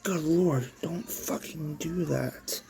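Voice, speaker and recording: A man's voice: a little high, takes it slowly, creaky, voice pushed up. A man voicing a startled, cursing nineteen-year-old young man. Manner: cursing, flustered.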